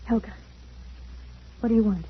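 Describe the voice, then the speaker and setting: A woman's voice: fairly high pitch, moderate pace, breathy edge. An actress playing an anxious, earnest young woman, frightened yet resolute, in an old radio drama. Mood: tense, defiant. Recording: dull, faintly hissy old radio recording.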